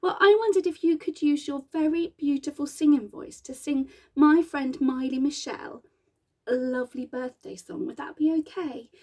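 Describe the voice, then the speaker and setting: A soft-spoken woman puppeteer chatting gently and encouragingly with her puppets for children. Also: sits high, normal speed.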